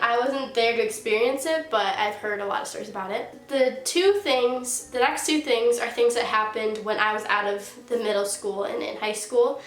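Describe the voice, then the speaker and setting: A woman's voice: a little high, a bit bright. Stuffy-nosed teen girl telling a story. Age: late teens. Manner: chatty, heavy use of "like". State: stuffy nose from a sinus infection. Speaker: YouTuber. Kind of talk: casual storytime vlog. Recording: roomy echo.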